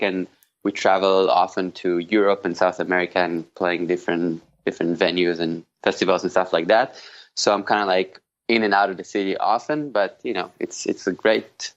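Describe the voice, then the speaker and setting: Low Israeli-accented man, muffled sound. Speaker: podcast guest, jazz guitarist. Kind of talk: casual conversational interview.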